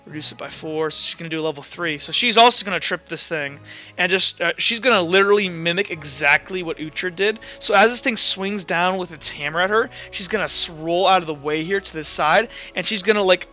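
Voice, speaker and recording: A man with a high, bright voice acts as game master for a tabletop game. He talks casually and ramblingly through rules, with music playing behind a slightly dull recording. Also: a little fast. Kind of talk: running a tabletop roleplaying game, explaining rules. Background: background music.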